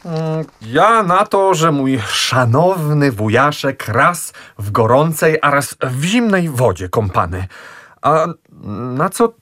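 A man playing a dithering, hesitant young gentleman. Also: a little high, very animated, slow pace, heavily acted. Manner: hesitant, hemming and stammering. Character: dithering indecisive young gentleman Leon, fussy. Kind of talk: radio play acting.

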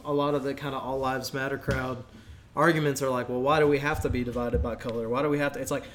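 A man's voice: medium pitch, level tone, fast, slight background noise. A man co-hosting a podcast, talking casually and informally in an agreeable conversational way. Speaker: podcast co-host.

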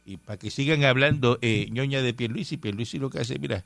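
A man's voice: medium pitch, brisk, vocal fry, a bit bright, dry recording. A man from Cuba hosting a casual radio talk show, rambling and emphatic with many fillers. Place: radio studio.

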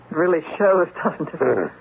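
A woman's voice: low-pitched, sing-song, slow pace, creaky, exaggerated voice. Radio play role: earnest clinic doctor, a troubled woman, hesitant and conversational, on a muffled, hissy recording. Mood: uneasy, curious. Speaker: radio drama actress. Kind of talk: radio drama acting. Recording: dull, noisy old radio recording.

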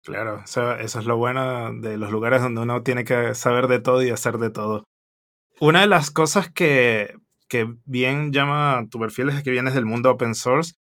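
A man's voice: medium pitch, moderate pace, a bit bright. Guy hosting a tech podcast, kinda hesitant, restarts a lot, casual chat. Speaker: podcast host.

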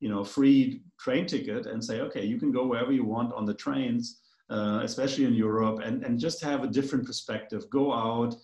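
A man's voice: medium pitch, fairly even intonation, a little fast, a little mellow, reverberant. A man with a German accent, a guest in a casual conversation, talking in a rambling, hesitant way with many fillers.

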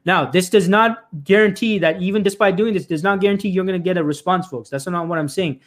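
Guy coaching job seekers on a live stream, high-ish voice, talks fast, keeps repeating points and saying folks. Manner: emphatic, repeats phrases, often says "folks" and "right?"; frequent restarts and filler "uh".

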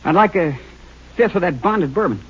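A man's voice: high voice, lively intonation, moderate pace, husky, full character voice. An actor playing a nervous, defensive teenage delinquent boy in a 1950s radio drama. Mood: tense. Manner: edgy, defensive. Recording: muffled, noisy old radio recording.

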